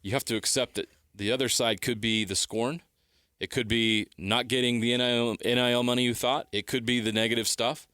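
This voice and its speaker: A man's voice: mid-range voice, flat delivery, fairly quick, bright, forceful tone, smooth, no echo, studio quality. A middle-aged man co-hosting sports radio, making emphatic points by repeating and stacking phrases. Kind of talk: opinionated sports talk.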